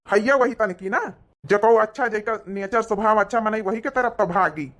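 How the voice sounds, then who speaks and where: A sardonic old husband in a village cartoon, played by a woman. He needles his wife in an Awadhi accent, muttering his taunts at a middling pitch for the performer. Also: moderate pace, natural voice. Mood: needling.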